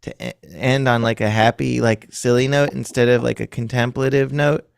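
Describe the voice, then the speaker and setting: A man's voice: mid-range voice, flat delivery, moderate pace, gravelly, smooth, no room sound, studio quality. A man in his thirties, a musician chatting casually in a rambling, self-deprecating way and laughing often. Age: 30s. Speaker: musician guest. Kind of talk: casual chat.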